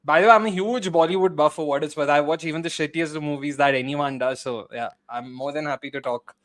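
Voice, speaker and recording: A man's voice: fairly high pitch, fast, a bit bright. A man in his late twenties chatting casually as a guest, self-mocking and often repeating his words. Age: 20s. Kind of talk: casual chat.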